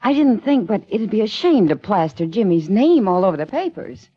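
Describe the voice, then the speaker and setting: An actress playing a gentle, concerned newspaper woman in 1940s radio acting. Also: medium pitch, very animated, moderate pace. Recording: dry, muffled old radio recording.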